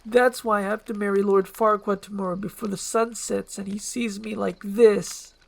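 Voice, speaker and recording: A woman voicing an earnest, anxious young princess who hides an ogre form, in an animated film script. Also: mid-range voice, moderate pace, creaky, voice pushed up. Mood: sad, earnest.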